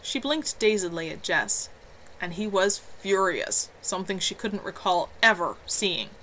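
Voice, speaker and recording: A woman's voice: mid-range voice, animated, measured pace, sharp tone, a little hiss or hum, rough recording. A woman author reading her own novel aloud live from home, earnest, with a few small stumbles.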